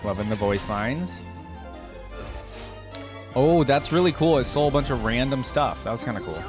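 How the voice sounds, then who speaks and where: A man's voice: mid-range voice, animated, moderate pace. A man giving casual, enthusiastic reaction commentary as a video host, chatting directly to his viewers. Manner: enthusiastic, conversational, addresses viewers as "guys". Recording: music playing behind the voice.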